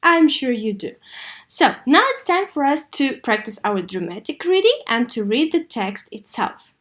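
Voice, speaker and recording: A woman teaching an English lesson to young learners, asking questions and answering them herself, with key words pronounced slowly and deliberately. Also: fairly high pitch, fairly bright tone. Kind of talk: scripted online lesson addressed to young learners.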